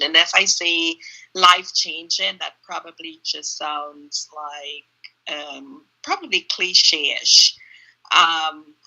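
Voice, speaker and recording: A middle-aged woman, answering interview questions thoughtfully over a video call on a handheld phone, often pausing with ums. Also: deep voice, bright, forceful tone, low-quality recording. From Trinidad. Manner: thoughtful, searching for words with frequent ums. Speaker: interview guest, runner. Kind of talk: casual reflective interview answers. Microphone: phone held in hand on a video call.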